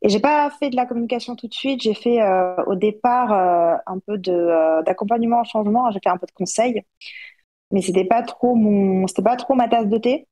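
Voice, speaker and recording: Mellow woman in her thirties. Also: mid-range voice, normal speed. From born in Russia, grew up in Paris, France. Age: 30s. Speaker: podcast guest, self-published author. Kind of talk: casual interview answers.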